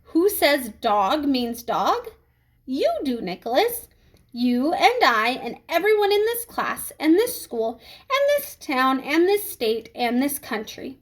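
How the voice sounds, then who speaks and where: A woman reading a children's storybook as a strict, controlled female schoolteacher, speaking in a measured, polite, authoritative way. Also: high voice, very animated, full character voice. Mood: patient explaining. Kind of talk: children's storybook read-aloud.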